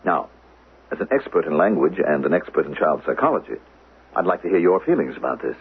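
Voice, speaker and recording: An actor playing an officious, probing teacher and suspected spy in a 1970s radio drama, formal and needling, with a husky voice. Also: male voice, low voice, big pitch swings, fairly quick, exaggerated voice. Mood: officious, insistent. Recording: narrow, phone-like old radio sound.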